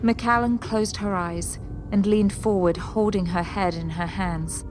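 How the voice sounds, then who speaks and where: A woman's voice: mid-range voice, steady tone, a little slow, faint music behind, rough recording. A woman narrator reading scripted, descriptive narration for an audio drama.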